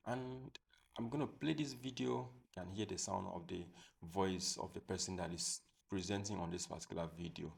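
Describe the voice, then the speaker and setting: Low, gravelly male tutorial host. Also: normal speed. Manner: step-by-step, repetitive explaining. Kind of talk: screen-recorded software tutorial.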